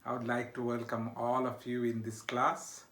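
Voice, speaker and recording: Middle-aged Bangladeshi-accented instructor, roomy sound. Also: male voice, mid-range voice, monotone, normal speed, fairly bright tone. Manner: frequent uh fillers and checking okay. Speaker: university instructor. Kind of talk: recorded course introduction. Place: home study with bookshelves. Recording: echoey room.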